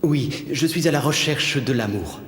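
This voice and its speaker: A man's voice: mid-range voice, lively intonation, measured pace, lightly acted. Man playing an earnest young prince, reverberant. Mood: ardent. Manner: formal, deferential, ardent. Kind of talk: dubbed cartoon fairy-tale acting.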